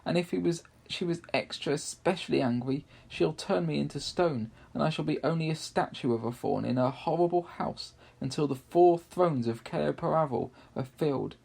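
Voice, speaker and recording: A man voicing a gentle storybook fawn who is guilt-ridden and tearful, wailing and blaming himself. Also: fairly high pitch, gravelly, husky. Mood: tearful, fearful. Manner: tearful, wailing, self-reproaching.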